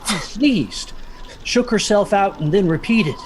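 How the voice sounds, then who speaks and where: An actor playing an anxious, guilt-ridden young son, narrating a story in the first person with literary intensity. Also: male voice, sits high, expressive, a little slow, darkish tone, a little hiss or hum, poor audio. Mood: tense.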